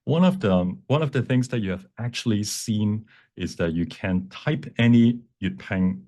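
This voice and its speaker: Low-voiced man giving an informal workshop. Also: moderate pace, darkish tone. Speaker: workshop presenter, font creator. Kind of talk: informal software demonstration, explaining.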